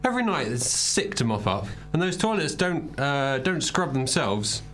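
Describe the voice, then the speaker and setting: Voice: mid-range voice, sing-song, moderate pace, fairly bright tone, soft background music. A performer voicing a cheeky male club owner on a dating show. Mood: breezy.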